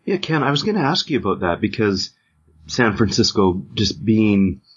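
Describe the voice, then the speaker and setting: A man's voice: on the low side, big pitch swings, normal speed, husky. A man conducting an interview in a conversational style, with hesitant, roundabout phrasing. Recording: dull, lo-fi audio.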